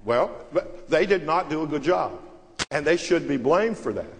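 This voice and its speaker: A blunt man argues heatedly as a panelist in a debate. His voice is a little high, and it rings in a big hall over faint noise. He interrupts and pushes back hard. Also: animated, moderate pace. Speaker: panelist and former government intelligence official.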